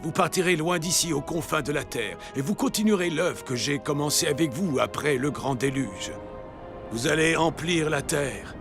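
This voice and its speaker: An actor playing a solemn, devout old patriarch giving commands in a biblical cartoon dub. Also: male voice, fairly high pitch, normal speed, over music, close to an everyday voice. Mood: solemn blessing. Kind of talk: dubbed biblical cartoon acting.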